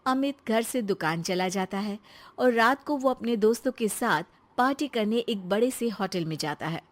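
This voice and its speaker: A woman's voice: medium pitch, expressive, normal speed. A woman storyteller reading scripted narration for a cartoon tale.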